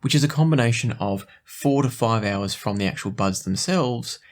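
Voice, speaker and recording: A man presenting a product review in a conversational, explanatory and even-handed way. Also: mid-range voice, moderate pace. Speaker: host of an audio gear review show.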